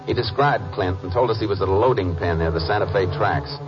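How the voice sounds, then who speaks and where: An American actor playing a calm, methodical Texas Ranger in a 1950s radio crime drama, terse and measured. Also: male voice, low voice, over music, natural voice. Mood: brisk narrating. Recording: muffled old radio recording.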